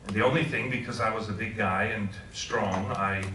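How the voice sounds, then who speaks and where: A man's voice: lowish voice, steady tone, moderate pace, far from the mic, noisy background. A middle-aged man from Switzerland, a professor, giving an informal talk and asking the audience questions. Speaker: guest speaker. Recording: echoey room, voice heard from afar.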